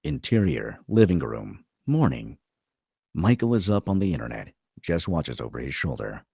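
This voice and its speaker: A man with a gravelly voice narrating the stage directions of a film script at a table read. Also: a bass voice, very animated, normal speed, mellow, no room sound, clean studio sound.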